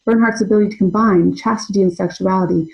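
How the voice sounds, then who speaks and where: A young adult woman presenting an academic paper in a measured, scholarly way. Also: medium pitch, fairly even intonation, vocal fry, darkish tone, highs cut off. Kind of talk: reading a prepared conference paper.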